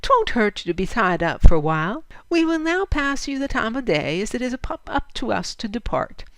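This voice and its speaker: A woman's voice: on the high side, very animated, brisk, no echo, voice pushed up. A woman voicing a contemptuous, commanding male bandit leader from the American West, with mocking slang and a swaggering drawl, in a storybook reading. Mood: mocking reassurance.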